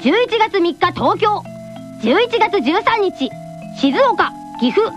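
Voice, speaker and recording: An earnest, cheerful little schoolboy reading radio news in anime style. The voice is extremely high and lively, over soft background music. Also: female voice, slow, heavily acted. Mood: chirpy, earnest. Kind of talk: in-character scripted radio announcement.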